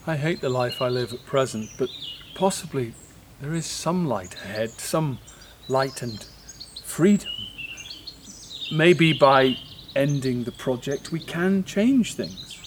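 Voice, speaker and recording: A man's voice: mid-range voice, expressive, slow, background noise. An actor playing a disillusioned middle-aged father, lamenting earnestly in a naturalistic audio play. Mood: weary, hopeful. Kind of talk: naturalistic audio drama monologue.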